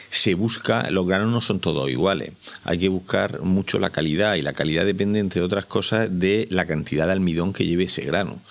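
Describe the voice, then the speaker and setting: Professor guy explaining stuff off the cuff, very low creaky voice, teacherly. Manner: didactic, asks and answers own questions. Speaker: biochemistry professor, expert. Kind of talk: informal explanatory interview.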